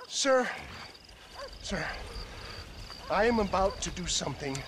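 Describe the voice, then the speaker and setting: A man's voice: high-pitched, expressive, takes it slowly, noisy background. An actor playing an aging, pompous snake-oil salesman in a western game, florid, theatrical and wheedling. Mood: flustered pleading. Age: older. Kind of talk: theatrical video game acting.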